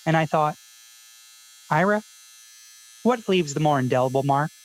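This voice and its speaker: A man playing an earnest, insecure public-radio essayist with a precise, soft, overly sincere delivery in a radio comedy. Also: fairly high pitch, lively intonation, unhurried, rough recording. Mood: wistful solemn. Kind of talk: confessional public-radio narration parody.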